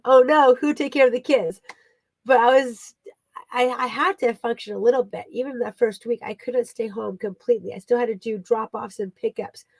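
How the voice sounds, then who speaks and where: Creaky-voiced woman giving a casual update. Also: fairly high pitch, darkish tone, dry recording, low-quality recording. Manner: chatty and rambling, many fillers and asides. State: recovering, voice partly back after losing it. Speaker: vlogger and online teacher. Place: her home.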